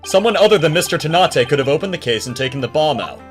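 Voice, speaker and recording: A man's voice: fairly high pitch, expressive, quick pace, close to an everyday voice. A man voicing an earnest, exasperated young defense lawyer with a wry manner in an amateur game dub. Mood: assertive. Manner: wry, sardonic. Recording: long reverb, music behind. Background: loud game music.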